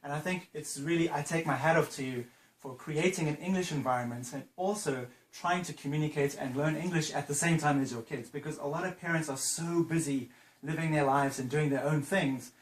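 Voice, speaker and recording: A man's voice: a little high, fairly quick. A man interviewing a mother, asking chatty and encouraging questions in native English. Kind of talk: casual interview. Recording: roomy sound with faint noise.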